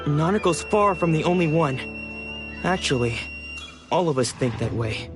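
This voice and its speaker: A man's voice: fairly high pitch, expressive, normal speed, natural voice. An actor playing a concerned young male sword disciple in a video game, speaking earnestly. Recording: music behind the voice. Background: game music.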